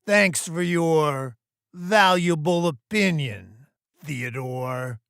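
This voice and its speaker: A man voicing a stern, dismissive boss in an animated furry sci-fi story, with a forceful, authoritative, condescending delivery. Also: a little high, takes it slowly, dry recording, clean studio sound, pitched above the performer's own voice, full character voice. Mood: curt, dismissive.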